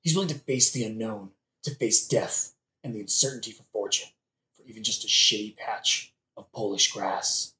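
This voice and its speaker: A man acts a brooding young prince in a modern audio drama. His voice is fairly low and sharp, an intense, self-reproaching soliloquy. The room around it echoes. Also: normal speed. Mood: stirred admiration. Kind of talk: satirical modern audio play acting.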